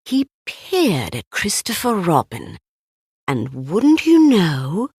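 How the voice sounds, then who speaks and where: A woman reading a children's storybook aloud in an expressive, deliberate way. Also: fairly low pitch, slightly rough, no room sound, studio quality. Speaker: storybook narrator. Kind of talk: scripted read-aloud children's story narration.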